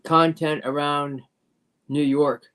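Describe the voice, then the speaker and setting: A man's voice: on the high side, level tone, takes it slowly, a bit bright, smooth. A man around sixty chatting casually as a guest on a live stream, often repeating himself. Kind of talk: casual chat.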